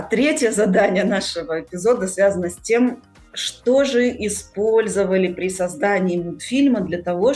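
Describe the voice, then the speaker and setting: A woman's voice: mid-range voice, a little mellow. A woman hosting a conversational podcast, explaining things and addressing her listeners directly. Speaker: podcast host.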